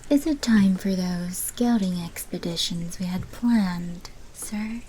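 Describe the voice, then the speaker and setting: A female vampire mafia lieutenant acted by a woman speaks slowly in a mellow, mid-pitched voice. She is deferential to her boss but wry underneath, in a fantasy audio roleplay with some noise behind her. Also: low-quality recording.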